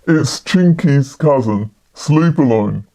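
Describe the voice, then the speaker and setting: A woman voicing a calm, commanding male magician in a storybook, speaking in a deliberate, reassuring way. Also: rumbling low, voice pushed down, heavily acted. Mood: amused, concerned.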